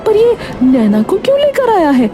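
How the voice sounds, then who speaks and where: Sensible neighbour woman, voiced by a narrator: bold, advising, very expressive high voice, cartoon story, music behind. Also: normal speed, full character voice. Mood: urgent. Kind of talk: animated cartoon story dialogue.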